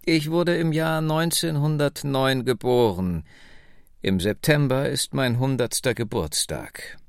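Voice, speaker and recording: A man voicing a proud, wistful woman in her nineties telling her family story in an audiobook, deliberate and dramatic. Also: medium pitch, creaky, clean tone, dry recording, studio quality. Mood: reminiscing, deliberate. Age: 90s.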